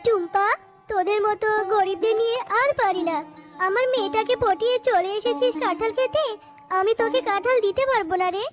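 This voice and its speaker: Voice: extremely high pitch, brisk, loud music behind the voice. An actor voicing a stingy, haughty tigress mother in a children's cartoon, curt and grudging. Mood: scornful.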